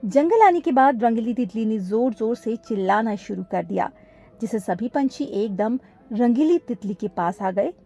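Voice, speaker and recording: A woman's voice: on the high side, expressive, moderate pace, warm tone, faint music behind. A woman reading scripted narration for a children's cartoon story.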